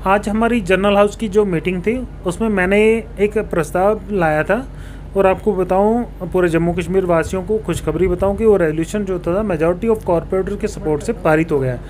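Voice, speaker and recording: A man's voice: high-pitched, normal speed, a little mellow. A man, answering formally as a local politician and explaining his points with English terms mixed in. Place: small indoor office, seated on a sofa. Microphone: handheld reporter mic held toward him. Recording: clear background room noise.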